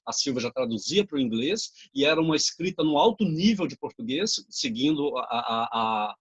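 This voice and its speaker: A man's voice: medium pitch, lively intonation, moderate pace, slightly rough. A man from Brazil, a theatre director, chatting on a video call and correcting dates and names as he remembers them. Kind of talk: casual video-call chat.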